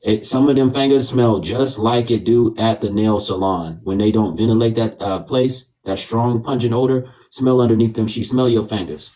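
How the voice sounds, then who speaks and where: A man's voice: lowish voice, normal speed, few pauses, warm tone, rough recording. An American man hosting a livestream debate, talking in a mocking, goading manner. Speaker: livestream host. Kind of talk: bantering livestream debate talk.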